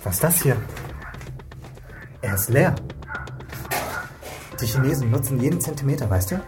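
A mid-pitched male voice , an actor as a young policeman in a radio thriller. He sounds guarded, subdued and uneasy, speaking naturally over faint music. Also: animated, moderate pace, natural voice. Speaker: actor in a radio crime drama. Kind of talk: naturalistic German radio drama acting.

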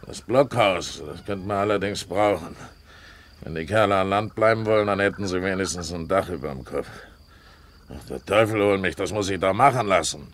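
An actor in a German radio play voicing a gruff, irascible pirate captain who blusters, grumbles and answers curtly. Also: male voice, low-pitched, normal speed, fairly bright tone. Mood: grudging.

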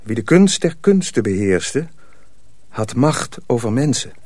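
A man's voice: mid-range voice, sing-song, unhurried, warm tone, no room sound. A man narrating a radio drama as a storyteller, reading scripted prose dramatically.

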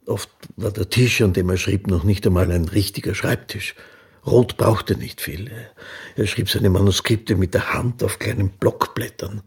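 Sober biographical witness, very deep, gravelly, measured, radio play narration. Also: male voice, steady tone, heavily acted. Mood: sober, recounting. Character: sober male biographical witness recounting a writer's life.

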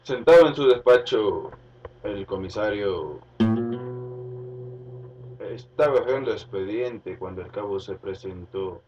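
A man's voice: lowish voice, expressive, slow, pauses often, a little mellow. A man reading scripted narration for a radio drama as its storyteller. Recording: muffled, distant, music behind.

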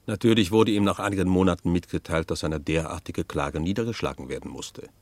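An actor playing a sober chronicler, narrating a radio drama in a formal, dry storytelling manner. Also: male voice, sits low, fairly quick, a little mellow, no echo. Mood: sober, wry. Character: male chronicler soberly recounting a legal injustice.

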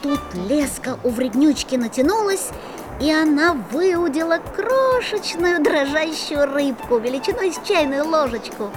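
High, animated woman storyteller. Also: unhurried, music in the background. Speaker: narrator. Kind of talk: expressive storybook narration for children.

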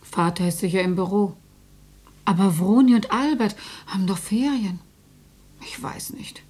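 An actress playing a meek, resigned housewife and mother in a radio play, speaking plainly and a little plaintively, slightly husky. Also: medium pitch, unhurried, a little mellow. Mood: vague, resigned. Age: 30s. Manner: plain, vague, mildly plaintive. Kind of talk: German radio play acting.

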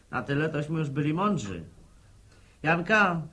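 An actor playing a flustered, gruff village son in a radio drama, brusque and halting. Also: male voice, a little high, very animated, sharp tone, heavily acted. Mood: impatient. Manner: brusque, agitated, stammering slightly.

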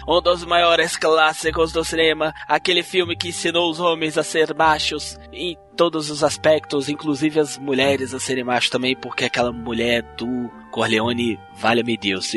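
A Brazilian man hosts a podcast in loose banter, his voice bright and a touch high. He jokes and curses freely. Soft music plays underneath. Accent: Brazilian Portuguese. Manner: jokey, swears freely, mock accents. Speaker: podcast host.

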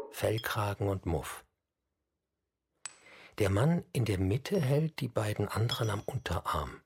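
Lowish-voiced man narrating plainly. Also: slightly rough. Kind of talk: scripted descriptive narration.

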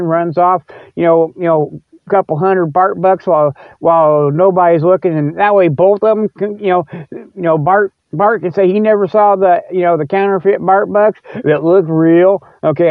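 A man's voice: high-pitched, no echo. A man hosting a podcast, rambling casually in a folksy, repetitive, stop-and-start way. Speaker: podcast host. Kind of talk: casual rambling solo talk-show monologue. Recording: narrow, phone-like sound.

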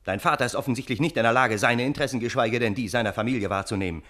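An actor playing a wry, wordy gentleman who narrates a German radio play in measured, ornate, ironic phrases. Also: medium pitch, close to an everyday voice. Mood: resolute, urgent. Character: wry, wordy storytelling uncle, Russian gentleman, scheming and earnest.

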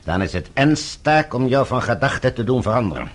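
An actor playing a haughty, scheming diplomat in a radio play, formal and suave with an indignant edge. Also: male voice, medium pitch, very animated, a little fast, a little hiss or hum, lightly acted. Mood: suave, needling.

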